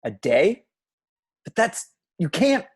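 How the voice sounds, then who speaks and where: A man's voice: high voice, sing-song, slow, breathy edge, full character voice. A man playing an agitated younger man who feels trapped, in an amateur table read. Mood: agitated disbelief.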